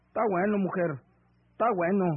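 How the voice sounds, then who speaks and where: An actor playing a scheming, greedy husband from the countryside, with a rural northern Mexican accent and an insistent, rustic way of talking, in an old radio serial. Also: male voice, high-pitched, fairly even intonation, brisk, breathy edge. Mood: wheedling. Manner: rustic, insistent, pressing speech. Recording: dull vintage AM radio drama recording.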